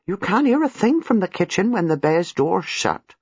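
A man's voice: high voice, big pitch swings, moderate pace, breathy edge, no room sound, muffled recording, pitched above the performer's own voice, full character voice. A man voicing a nervous, apologetic older female former servant, hesitant and deferential in an audiobook, with rambling rustic turns of phrase. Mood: apologetic, defensive. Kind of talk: audiobook character dialogue.

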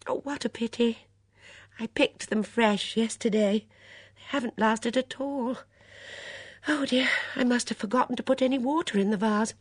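A woman voicing a fluttery, anxious, stubborn older woman in an audiobook, breathless, excitable and fussy. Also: a little high, normal speed, breathy edge, dry recording, studio quality, pitched above the performer's own voice. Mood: flustered, fussy. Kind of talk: audiobook character dialogue.

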